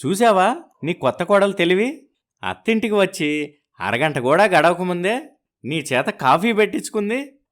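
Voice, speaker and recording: A sardonic middle-aged husband in a cartoon family story, played by a man. He grumbles sceptically and teases, in a fairly high male voice. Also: very animated, normal speed, pauses often, fairly bright tone. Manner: sardonic, teasing.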